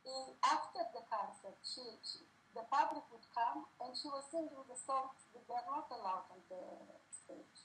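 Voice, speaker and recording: A middle-aged woman from the Soviet Union, a native Yiddish speaker, telling memories in halting English and often searching for words. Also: high-pitched, expressive, a bit bright, slight background noise, poor audio. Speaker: interviewee, singer's daughter. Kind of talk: casual spoken reminiscence.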